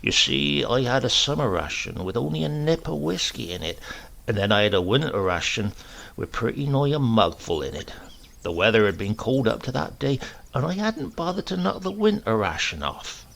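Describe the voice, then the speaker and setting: Man playing gravelly, roguish old English countryman. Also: mid-range voice, very animated, a bit bright. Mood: sly, genial. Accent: rural English. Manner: rustic dialect, deferential, repeats himself slowly for effect.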